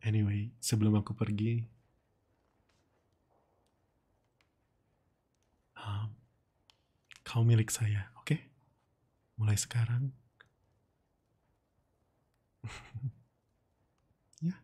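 Man acting as possessive flirty boss, dark mellow tone, unhurried delivery, teasing and coaxing, close-mic ASMR roleplay. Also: fairly low pitch, fairly even intonation, husky, heavily acted.

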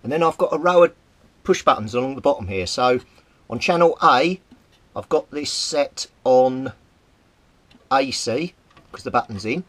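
Casting: British male hobbyist presenter, mid-pitched voice, plain-spoken and explanatory, for informal hands-on demonstrations. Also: animated, moderate pace, long pauses, fairly bright tone. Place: small indoor hobby workshop.

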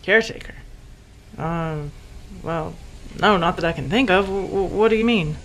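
An American actress playing courteous, slightly hesitant small-town women, a sheriff and a wife, in an audio drama. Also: female voice, fairly low pitch, normal speed, a bit bright, a little hiss or hum, rough recording. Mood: matter-of-fact.

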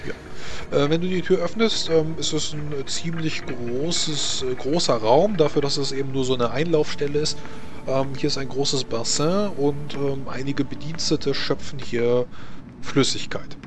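A man improvising narration as a tabletop game master, haltingly searching for his words. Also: mid-range voice. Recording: clearly noisy home recording.

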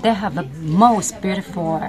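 A woman's voice: medium pitch, measured pace. A woman in her forties giving emphatic advice in non-native English, recorded close on a phone in an open-plan office. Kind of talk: casual advice. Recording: crowd chatter in office.

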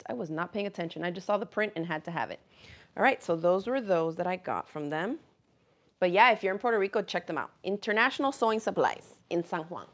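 Chatty woman hosting a craft live chat. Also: mid-range voice. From Miami, USA. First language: Spanish. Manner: chatty and animated, laughs often, reads viewer comments aloud. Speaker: craft channel host. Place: small indoor craft room with quilts on the wall.

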